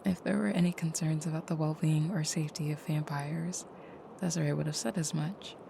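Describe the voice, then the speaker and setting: A woman playing a gentle, caring vampire girlfriend in an intimate audio roleplay, speaking softly and hesitantly, often near a whisper. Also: deep voice, fairly even intonation, brisk, creaky, background noise. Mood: hesitant, confiding.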